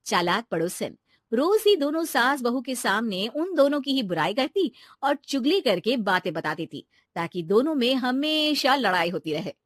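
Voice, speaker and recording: A woman's voice: a little high, big pitch swings, normal speed, dry recording, studio quality. A woman narrating a scripted cartoon story.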